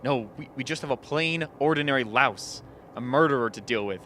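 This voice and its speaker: A man playing a shrewd camp member who reasons wryly and deliberately in a radio drama. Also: mid-range voice, bright, forceful tone, slight background noise, close to an everyday voice. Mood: dry, reasoning. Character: shrewd, dry-witted man of an isolated camp.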